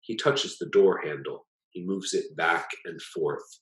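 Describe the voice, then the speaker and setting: A man with a very low voice reads a piece of literary fiction aloud. His delivery is measured and plain, like an author presenting his own story, and the room around him echoes noticeably. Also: fairly bright tone. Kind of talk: literary story reading, scripted narration. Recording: echoey, roomy sound.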